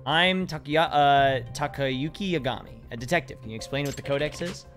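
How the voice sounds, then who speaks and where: Hoarse man streaming a video game. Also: mid-range voice, fairly quick, a bit bright, faint music behind. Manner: reads game subtitles aloud, swears casually. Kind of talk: casual gameplay commentary. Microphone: headset or desk mic, webcam.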